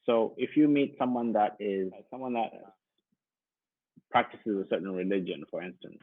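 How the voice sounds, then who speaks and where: A man's voice: lowish voice, normal speed, no echo. A man tutoring English in a casual one-to-one online lesson, explaining and encouraging, heard over a narrowband call.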